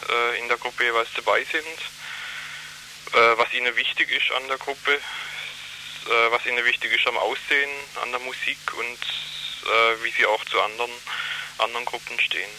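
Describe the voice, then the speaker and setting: A man's voice: medium pitch, sharp tone, slight background noise, poor audio. A man answering radio interview questions as a youth worker, hesitant with many fillers and restarts.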